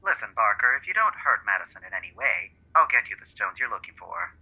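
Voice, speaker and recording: An actor playing a suave, firm detective, his husky voice coming through a telephone filter in a radio-style drama. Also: on the low side, sing-song, fast talker, bright, forceful tone, exaggerated voice. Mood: firm, urgent. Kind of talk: comedic old-time radio detective drama acting. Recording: muffled telephone-line effect.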